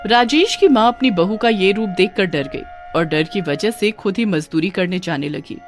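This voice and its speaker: Female narrator for bedtime moral stories: scripted read, animated pitch, music bed. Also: moderate pace. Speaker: story narrator.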